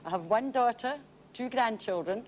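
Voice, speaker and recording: A woman's voice: fairly high pitch, normal speed, darkish tone, slight background noise. An older Scottish woman, a game show contestant giving brief, plain answers. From Scotland.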